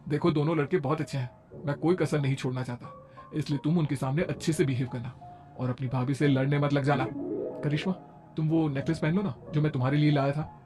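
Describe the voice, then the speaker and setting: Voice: mid-range voice, steady tone, fast, over music, voice pushed down, natural voice. The storyteller voicing a firm, protective elder brother in a cartoon story, speaking in an instructing manner. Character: stern elder brother, protective but quick-tempered young man.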